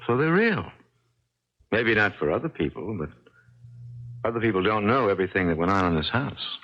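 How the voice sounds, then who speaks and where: A man acting in an American 1970s radio drama as a strange, grieving older man, telling things slowly and deliberately with folksy certainty. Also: on the low side, very animated, highs cut off. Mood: insistent. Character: strange, grieving older man guarding a dead sweetheart's memory.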